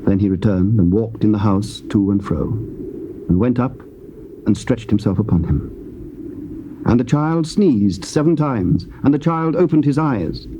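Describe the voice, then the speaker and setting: Stately male scripture narrator. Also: fairly low pitch. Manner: measured, stately. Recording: dull, lightly hissy old tape.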